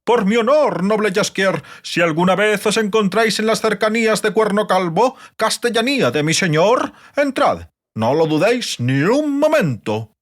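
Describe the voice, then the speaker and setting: A man's voice: sits high, voice pushed up. A man voicing a gallant, boisterous fantasy knight who speaks in grandiose courtly praise for an audiobook. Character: gallant thin knight, boisterous, effusive admirer. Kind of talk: audiobook fantasy character acting.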